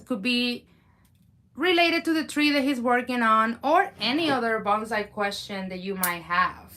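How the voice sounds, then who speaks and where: Bright, upbeat woman hosting a live stream. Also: on the high side. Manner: upbeat, chatty, addresses viewers. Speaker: live-stream host, co-owner.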